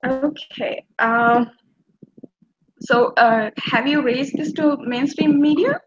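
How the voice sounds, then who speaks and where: A woman's voice: high-pitched, slow pace, background noise. A young woman interviewing a guest casually over a video call, asking short questions with hesitant fillers and a ready laugh. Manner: brief, giggly prompts with hesitant fillers. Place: indoor room with a painted wall. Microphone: laptop webcam on a video call. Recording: dull lo-fi call audio.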